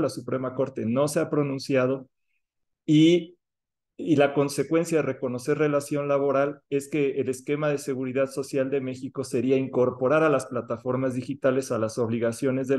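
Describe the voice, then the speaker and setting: A man presenting formally on a panel, measured with occasional fillers. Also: medium pitch, darkish tone.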